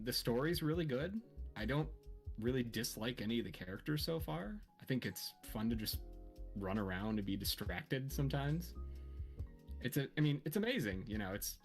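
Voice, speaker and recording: A man with a slightly low voice talks casually as a stream guest. He is easygoing and understated, and soft music plays faintly behind him. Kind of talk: casual livestream chat. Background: soft lofi music.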